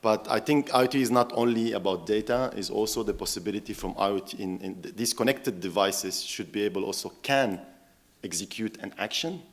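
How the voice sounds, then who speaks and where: A man on a conference panel explaining his view, listing examples one after another. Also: on the low side. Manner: listing items, measured explanation. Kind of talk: panel discussion answer.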